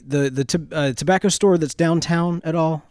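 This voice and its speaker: A man in his thirties co-hosting a casual chat, rambling and self-deprecating, with halting restarts. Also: fairly high pitch, darkish tone, clean tone, no room sound, studio quality. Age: 30s. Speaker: podcast co-host.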